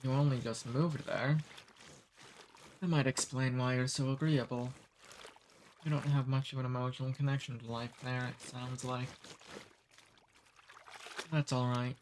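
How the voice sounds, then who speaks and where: A man voicing a friendly, centuries-old male demon in an ASMR roleplay. He talks calmly and teases gently. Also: medium pitch, unhurried, pauses often, slight background noise, natural voice. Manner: calm, friendly, gently teasing.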